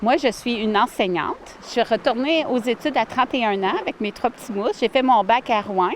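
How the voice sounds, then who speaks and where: A woman, answering interview questions warmly and earnestly outdoors by a lake, with many fillers and restarts. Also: on the high side, moderate pace, slight background noise. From Ottawa, Canada. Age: 40s. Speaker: village mayor, interviewee.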